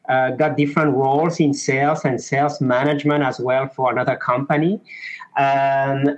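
A middle-aged man from Mauritius with a French accent, answering interview questions as a sales professor, pausing often with "uh". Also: fairly high pitch, lo-fi sound. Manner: thoughtful, many "uh" pauses.

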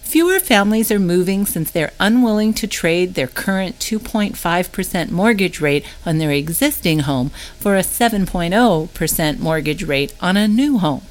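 A woman's voice: on the low side, lively intonation, moderate pace, few pauses. A woman narrating a monthly newsletter update, reading from a script.

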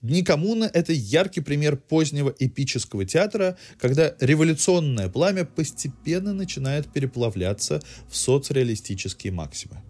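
A man's voice: on the high side, very animated, moderate pace, few pauses, darkish tone. A man hosting a podcast, reading a scripted cultural review in an articulate, literary way. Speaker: podcast host. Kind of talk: scripted cultural news narration.

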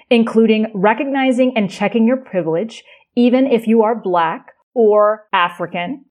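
A woman's voice: medium pitch, a little mellow. A woman hosting a leadership podcast, giving an encouraging intro and then asking questions. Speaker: podcast host.

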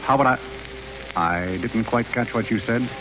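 A man's voice: medium pitch, a little slow, dull audio, music in the background, lightly acted. An actor playing a dry, sardonic homeless man with polished manners and mock-formal speech, in 1930s radio drama style. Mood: wary, polite. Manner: mock-formal, sarcastic, unruffled. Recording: noisy, band-limited 1930s radio recording.

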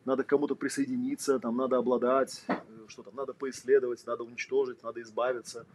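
A man's voice: medium pitch, level tone, quick pace, slight background noise. A man giving a casual lecture, talking conversationally with lots of fillers and rhetorical questions.

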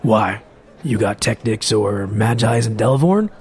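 A man voicing a hulking, scarred male ex-soldier who speaks tersely and gruffly. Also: fairly low pitch, expressive, unhurried, a little mellow, a faint crowd.

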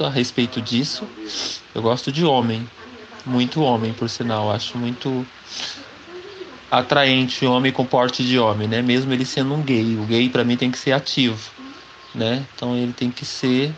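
A man in his forties from Brazil, telling his life story in an interview, chatty, often ending sentences with a questioning tag. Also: medium pitch. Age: 40s. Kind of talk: slow personal storytelling. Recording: slightly dull recording with faint background noise.

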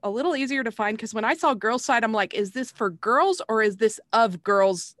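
A woman's voice: a little high, normal speed, a bit bright, no echo, clean studio sound. A woman giving her opinions as a panelist in a casual podcast review chat.

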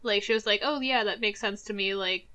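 A woman's voice: medium pitch, fairly quick, pushed and bright. A woman co-hosting a casual podcast chat about movies, rambling and filling her sentences with fillers. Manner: rambling, heavy use of filler words. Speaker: podcast co-host.

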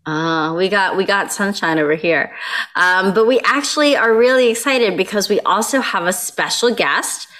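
A woman's voice: mid-range voice, normal speed, fairly bright tone. A woman co-hosting a podcast, upbeat and chatty, introducing a guest with a few ums. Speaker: podcast co-host.

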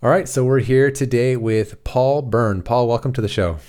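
A man's voice: medium pitch, moderate pace, a little mellow. A man hosting a podcast, reading a scripted intro and then chatting eagerly with a guest. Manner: eager, with many fillers and restarts in chat. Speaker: podcast host. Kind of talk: scripted intro, then casual interview chat.

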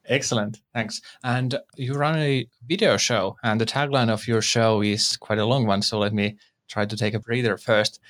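A man's voice: lowish voice, fairly even intonation, normal speed, a bit bright. A man co-hosting a tech podcast who reads scripted introductions and asks questions formally. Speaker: podcast co-host.

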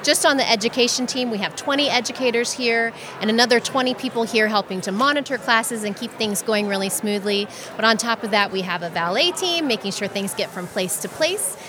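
A woman's voice: fairly high pitch, brisk, few pauses, noisy background. A woman event organizer speaking with bubbly enthusiasm in an interview. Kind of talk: interview answers and stage presentation.

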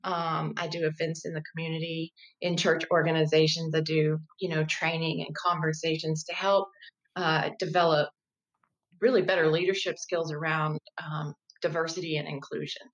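Measured, explanatory woman in her fifties. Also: low-pitched, little pitch movement, dull audio. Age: 50s. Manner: measured, explanatory, thinks aloud with restarts. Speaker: guest, diversity educator. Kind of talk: conversational interview.

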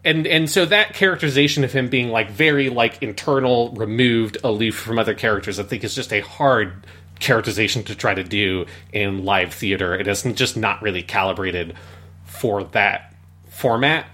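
Male podcast host, lowish pitch, crisp bright tone, casual and analytical critic. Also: moderate pace. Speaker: podcast host reviewing media. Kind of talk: casual review discussion.

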